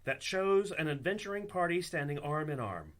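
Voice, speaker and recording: A man narrates a comedy radio play with animated intonation. His voice sits a little high, in a scripted storytelling style. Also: moderate pace.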